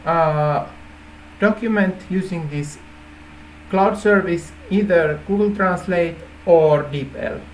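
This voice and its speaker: Slow-paced Finnish-accented man. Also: high-pitched, slight background noise, rough recording. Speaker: app developer presenting a feature idea. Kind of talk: informal explainer to camera.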